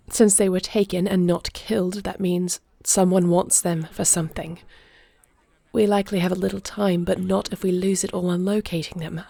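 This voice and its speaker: A woman's voice: medium pitch, creaky, dark tone, dry recording. A woman playing a regal, anguished but resolute queen with formal, commanding diction in a fantasy audio roleplay. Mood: anxious, resolved.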